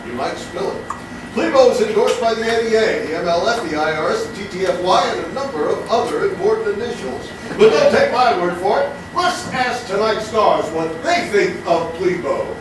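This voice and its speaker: Heard from far off in a reverberant room with clear noise, a man hosts live comedy. His voice sits high as he reads a parody commercial in mock-earnest announcer style. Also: animated, moderate pace. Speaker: host reading a mock sponsor ad. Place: live stage show in a theatrical venue. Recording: very echoey, distant live room, noisy.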